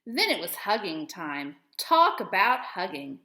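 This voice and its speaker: A woman teacher reading a storybook aloud to children with expressive, deliberate storytelling phrasing. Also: medium pitch, echoey room.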